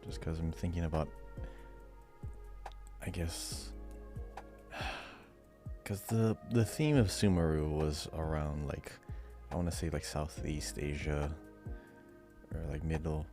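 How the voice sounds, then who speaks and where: A man's voice: a bass voice, steady tone, slow, pauses often, over music. A man co-hosting a podcast as a quiz contestant, chatting casually and hesitantly reasoning his way to answers. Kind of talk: casual chat, thinking aloud.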